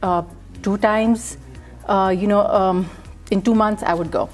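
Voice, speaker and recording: A woman in her thirties from Pakistan, a guest talking softly about her life, often pausing with ums. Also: medium pitch, fairly even intonation, soft background music. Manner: soft-spoken, warm, frequent ums and mm-hmms. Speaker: guest, entrepreneur. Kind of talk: casual interview.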